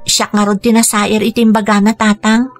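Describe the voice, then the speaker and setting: A woman's voice: medium pitch, flat delivery, normal speed, faint music behind, natural voice. A woman acting an earnest, wounded young Ilocano daughter. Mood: hurt, aggrieved. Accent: Filipino, Ilocano. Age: young adult. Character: earnest nursing-student daughter, hurt on her father's behalf. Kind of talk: Ilocano radio drama acting.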